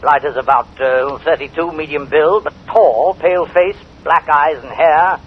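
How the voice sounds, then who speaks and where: An actor playing a blustering British army general with clipped, hesitant military speech in a vintage radio drama. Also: male voice, on the high side, a little slow. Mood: gruff, apologetic. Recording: dull, faintly noisy old radio recording.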